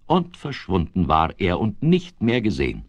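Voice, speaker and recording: A man narrating a 1970s children's radio play in a measured, expressive way that builds suspense. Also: low voice, no room sound, dull audio, exaggerated voice. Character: male narrator of a whimsical children's tale.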